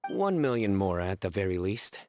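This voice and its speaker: A man playing an earnest, formal young general in a video game, with deliberate deadpan delivery. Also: sits low, soft background music. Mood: matter-of-fact.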